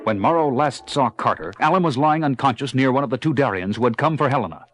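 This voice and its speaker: A man's voice: medium pitch, expressive, fast talker. A man narrating a scripted audio drama in a storytelling style. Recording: loud music under the voice.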